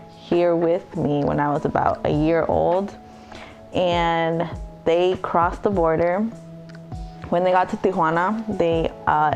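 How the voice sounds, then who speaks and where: A woman in her twenties from Mexico tells her family's story in a matter-of-fact way. Her voice is low and gravelly, and she speaks slowly in a flat, even tone. Faint music plays behind her. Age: 20s. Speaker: documentary interviewee. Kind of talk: personal storytelling.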